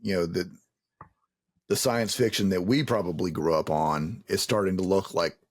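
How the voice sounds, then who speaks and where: A man's voice: sits low, moderate pace, no room sound, clean studio sound. A man hosting a casual interview, rambling and repeating words as he works out a thought. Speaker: interview host.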